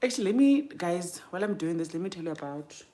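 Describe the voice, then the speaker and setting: Chatty young South African woman, lowish voice. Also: lively intonation, fast talker, dark tone, slight background noise. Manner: chatty, informal, thinks aloud and trails off. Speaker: livestream host. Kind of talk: casual chat while doing makeup.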